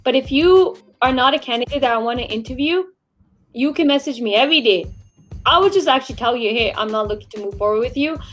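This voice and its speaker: A woman's voice: high voice, fast talker, faint music behind. A woman recruiter co-hosting a livestream career discussion, talking in a blunt, emphatic, conversational way. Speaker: recruiter and show co-host.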